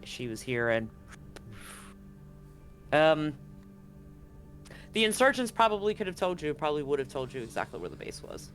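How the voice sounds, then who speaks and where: Game master, male, higher register. Conversational improvised narration and rules calls, faint music underneath. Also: very animated, moderate pace, long pauses, fairly bright tone. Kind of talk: narrating and refereeing a tabletop game. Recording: live stream with music underneath.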